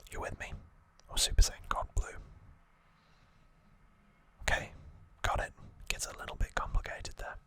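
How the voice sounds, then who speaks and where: A man hosting ASMR, explaining trivia in a soft unvoiced whisper with an informal, halting delivery that often corrects itself. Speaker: ASMR host.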